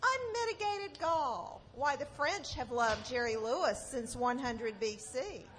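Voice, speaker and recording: Give me a woman as a sardonic shop clerk, high-voiced and unhurried, doing dry live sketch comedy. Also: animated, fairly bright tone. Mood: deadpan punning.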